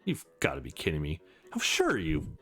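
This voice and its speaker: A man's voice: extremely low pitch, huge pitch swings, brisk, sharp tone, husky, faint music behind, pitched below the performer's own voice, heavily acted. A man voicing an eager, slightly unsure young male protagonist of a visual novel game. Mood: amazed.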